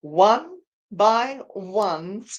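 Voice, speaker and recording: A woman's voice: medium pitch, animated, drawn-out words, muffled recording. A woman hosting and narrating a dramatic story read in a theatrical, playful way, with casual banter. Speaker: host and narrator.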